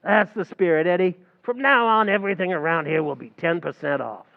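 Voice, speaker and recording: A man doing a scheming tavern manager for a radio comedy, with a gravelly, high voice that swoops up and down. He talks with streetwise, mangled grammar. The sound is muffled and rings out in a long hall. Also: brisk. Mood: wheedling. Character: scheming, fast-talking male tavern manager. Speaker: stage actor in a radio play recreation. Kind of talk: old-time radio comedy acting.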